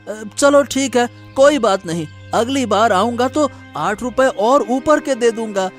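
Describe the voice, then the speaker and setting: A man's voice: very high-pitched, expressive, a little slow, soft background music. A man playing a poor, friendly farmer in a cartoon moral story, meek and hesitant as he placates. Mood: pleading.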